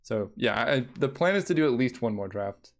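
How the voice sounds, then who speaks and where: A man's voice: medium pitch, expressive, moderate pace. A young man streaming a card game, talking casually in a relaxing voice, thinking aloud and counting under his breath.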